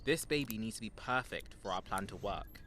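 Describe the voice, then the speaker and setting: A sarcastic tinkerer , voiced by a man who grumbles at everyone, with a sharp, bright edge to his voice. Faint hiss sits behind. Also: lowish voice, expressive, fairly quick. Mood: grumbling.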